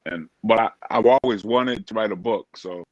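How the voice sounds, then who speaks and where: Deep-voiced American man, dry close sound. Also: normal speed, dry recording, lo-fi sound. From Springfield, Massachusetts, USA. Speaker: interview guest, motivational speaker. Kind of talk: casual interview chat.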